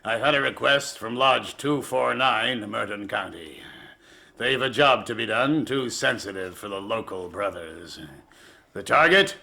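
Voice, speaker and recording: Sharp, gravelly crime boss. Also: male voice, lowish voice, full character voice. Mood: businesslike, commanding. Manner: commanding, deliberate, threatening. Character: menacing male boss of a criminal lodge. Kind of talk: radio drama acting.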